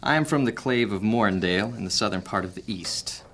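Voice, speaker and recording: An actor playing a cunning, guarded male master thief in an audio drama, speaking in a measured, sly way. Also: on the low side, fairly bright tone.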